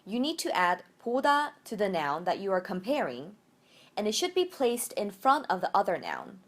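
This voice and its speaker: A woman's voice: mid-range voice, sing-song, normal speed. A woman language teacher giving an instructional lecture, explaining step by step and switching between two languages for example sentences.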